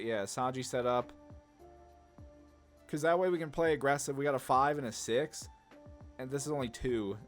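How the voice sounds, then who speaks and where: Casual man narrating card gameplay, music behind. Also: mid-range voice. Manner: informal, rambling, slangy. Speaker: gaming content creator. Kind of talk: casual card game commentary, thinking aloud. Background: background music.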